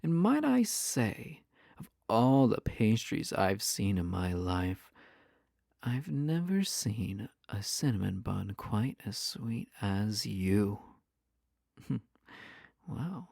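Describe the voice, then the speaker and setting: A man acts out a playful, possessive yandere husband in an intimate roleplay. His voice is mellow and relaxed, with plenty of gravelly fry, and he speaks at an unhurried pace. He teases suggestively, dropping into whispered asides, in a very dry recording. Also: mid-range voice, no room sound, full character voice. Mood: flirty, playful. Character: flirty, playful, obsessive yandere spouse. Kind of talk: intimate audio roleplay addressed to listener.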